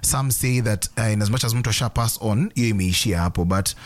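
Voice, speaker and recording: A man's voice: lowish voice, a little fast. A man co-hosting a casual talk show, opinionated, mixing Swahili phrases into English. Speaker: radio co-host.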